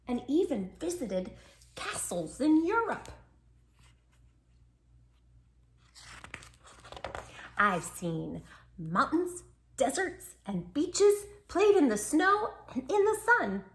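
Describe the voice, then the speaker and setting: Woman reading as an animated, proud little storybook dachshund. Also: sits high, pitched above the performer's own voice, full character voice. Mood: proud, adventurous. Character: small, proud, affectionate male dachshund, timid and stubborn. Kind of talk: storybook diary read aloud.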